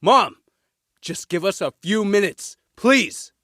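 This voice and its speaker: A man's voice: high voice, expressive, slow, fairly bright tone, dry recording, studio quality, exaggerated voice. A man playing an earnest, guilt-ridden teenage son in a naturalistic audio drama. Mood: pleading.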